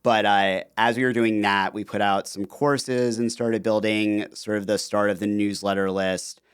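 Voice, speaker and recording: A man with a very low, smooth and resonant voice, recorded dry and close. He talks as a podcast guest, relaxed and rambling, with lots of "uh" and "like" as he tells a story. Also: moderate pace, no echo. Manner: relaxed storytelling with frequent fillers. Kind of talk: casual interview conversation.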